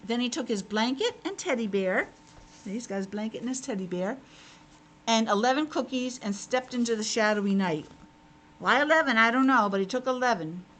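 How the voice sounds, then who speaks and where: A woman's voice: on the high side, expressive, normal speed, fairly bright tone. A woman teacher reading a picture book aloud to young children, breaking off for simple conversational asides. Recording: clearly noisy background.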